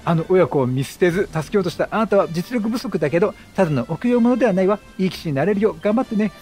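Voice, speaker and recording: A man's voice: sits high, animated, quick pace, a little mellow, faint music behind. A man voicing a flamboyant, effeminate male entertainer from a video game, a motherly and proud mentor with firm, encouraging phrasing. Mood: kindly firm.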